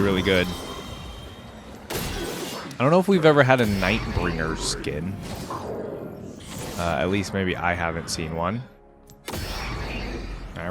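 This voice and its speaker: A man giving casual, off-the-cuff reaction commentary as a video host, thinking aloud in an informal way. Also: low-pitched.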